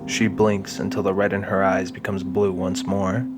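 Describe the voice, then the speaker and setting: A man narrates a scripted audio drama in a fairly low voice. Music plays clearly behind his storytelling. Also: monotone, moderate pace.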